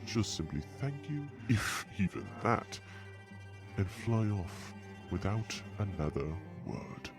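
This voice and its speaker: Guy doing a haughty scheming dragon fiance, low voice, very animated, formal taunting, audio drama. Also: takes it slowly, over music. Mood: smug, menacing. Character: smug, haughty male dragon suitor, scheming and condescending.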